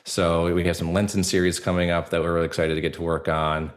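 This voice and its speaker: Man, low pitch, casual interview guest, earnest, halting, repetitive phrasing. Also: level tone. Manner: halting, filler-heavy, earnest, repeats words. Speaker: podcast guest, actor and storyteller.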